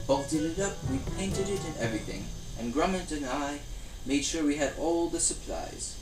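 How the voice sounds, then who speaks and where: Casting: male performer as gentle, daft British cartoon inventor for ASMR parody; sing-song delivery, roomy echoing sound. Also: medium pitch, far from the mic. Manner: gentle, unhurried, pottering storytelling with small hums and asides.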